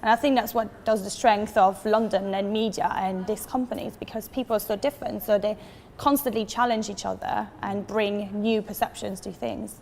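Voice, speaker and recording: A woman's voice: mid-range voice, steady tone, normal speed, vocal fry, a little mellow, a little hiss or hum. Earnest young woman with a long reverb. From Switzerland. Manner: earnest and enthusiastic, emphatic with "so" and "literally". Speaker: interviewee, advertising professional. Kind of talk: talking-head interview answers.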